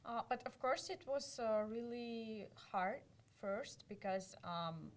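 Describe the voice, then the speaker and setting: A woman's voice: on the high side, fairly even intonation, slow pace, a little hiss or hum. A young woman with a Russian accent talks in a casual interview. She speaks English as a second language, so her sentences stall and restart, and she laughs often between them. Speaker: guest model.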